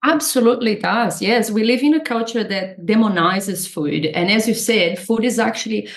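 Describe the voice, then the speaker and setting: A woman's voice: medium pitch, moderate pace. A woman with a Brazilian accent, a nutritionist guest, explaining her work earnestly and enthusiastically. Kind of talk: interview answers. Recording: roomy echo.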